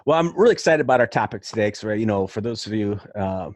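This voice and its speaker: A man's voice: fairly low pitch, lively intonation, quick pace. A man hosting a podcast in an upbeat, effusive way, introducing and chatting with his guest. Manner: enthusiastic, effusive. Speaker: podcast host.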